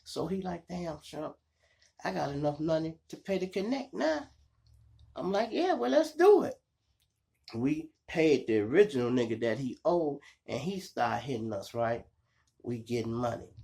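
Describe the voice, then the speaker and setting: A man with a Chicago accent and a gravelly voice, hosting a show and telling street stories in slangy, animated style, acting out the dialogue. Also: fairly high pitch, a little slow. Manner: animated, slangy, addressing listeners as y'all. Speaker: online show host and storyteller. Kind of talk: casual street storytelling with acted-out dialogue.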